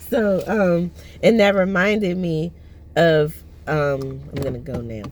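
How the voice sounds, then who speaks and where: A woman in her thirties vlogging from her parked car, her voice hoarse and lowered from allergies, rambling with many fillers and restarts. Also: slow, a little mellow. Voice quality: lowered, husky voice. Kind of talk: casual personal vlog. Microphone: phone on the dashboard.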